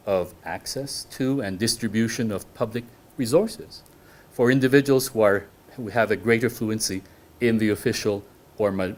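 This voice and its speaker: A man whose first language is French, giving an academic lecture on law to a hall audience in measured English, often restarting his phrases and putting questions to the listeners. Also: medium pitch. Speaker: guest lecturer.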